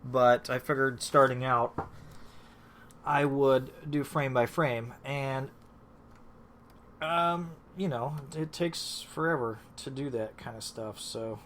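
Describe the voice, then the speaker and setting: A man's voice: medium pitch, takes it slowly, fairly bright tone, slight background noise. A man hosting a casual live stream, rambling and restarting with lots of fillers, just back from being sick. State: recovering from illness. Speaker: host.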